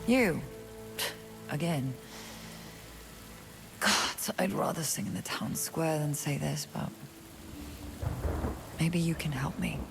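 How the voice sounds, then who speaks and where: A woman's voice: deep voice, takes it slowly, gravelly, pauses often, music in the background, exaggerated voice. An actress playing a defiant young noblewoman turned rebel, wry and guarded, in a video game. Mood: grudging. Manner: wry, reluctant, earnest. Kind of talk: video game character acting.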